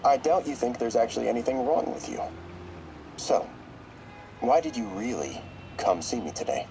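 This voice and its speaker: An actor playing a shrewd therapist, measured and probing in a video game audio log. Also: male voice, medium pitch, very animated, background noise. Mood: clinical, probing. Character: shrewd male therapist, measured, clinical, quietly calculating.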